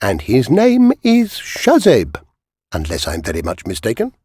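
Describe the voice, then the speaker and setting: A man's voice: on the low side, huge pitch swings, unhurried, dark tone, breathy edge, no echo, studio quality, heavily acted. A man reading a storybook aloud, voicing a stern male army captain who gives firm orders. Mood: commanding.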